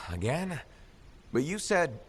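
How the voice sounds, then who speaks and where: A man's voice: on the high side, big pitch swings, takes it slowly, a little hiss or hum. A man playing a wry, guarded freelance mercenary in video game cutscene acting, speaking in a dry, sardonic, evasive way. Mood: exasperated.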